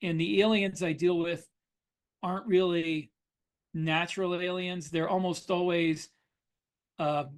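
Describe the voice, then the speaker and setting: A middle-aged man co-hosting a podcast as an author, talking casually in an anecdotal, explanatory way. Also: a little high. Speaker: podcast co-host and science fiction author. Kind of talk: casual conversational discussion.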